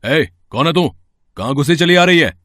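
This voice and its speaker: A man playing a gruff, brash policeman in a cartoon story, with a brusque, emphatic delivery. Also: on the high side, animated, moderate pace, fairly bright tone, no echo, clean studio sound. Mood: angry, challenging. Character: gruff, brash policeman guarding a senior officer. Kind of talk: Hindi cartoon story dialogue.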